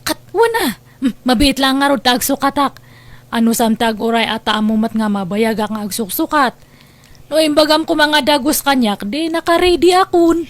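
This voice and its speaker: A woman playing a dutiful, affectionate younger sister in an Ilocano radio drama, with expressive conversational delivery. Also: sits high, moderate pace. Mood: chatty.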